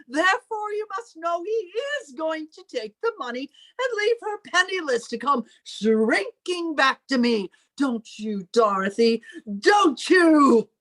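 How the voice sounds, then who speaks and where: A woman's voice: high-pitched, big pitch swings, a little slow, few pauses, fairly bright tone, heavily acted. A woman playing a bitter, controlling older sister in old time radio melodrama, theatrical and imperious in her delivery. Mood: accusing.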